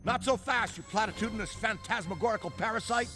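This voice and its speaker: A man's voice: high-pitched, quick pace, loud music behind the voice, natural voice. A man playing an earnest, wordy paranormal investigator in a video game, with precise, florid wording. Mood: defiant. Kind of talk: video game character acting.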